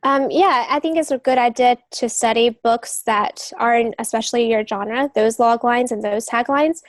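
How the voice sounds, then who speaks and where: A woman's voice: fairly high pitch, normal speed, no echo. A woman giving advice in a casual podcast chat, talking informally in loosely strung thoughts. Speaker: literary agent and novelist giving advice.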